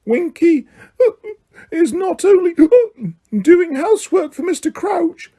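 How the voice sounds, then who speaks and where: A man voicing a tiny female house elf who is drunk and crying, with a squeaky, slurred, halting voice. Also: animated, warm tone, exaggerated voice. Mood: tearful drunk. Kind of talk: audiobook character voice.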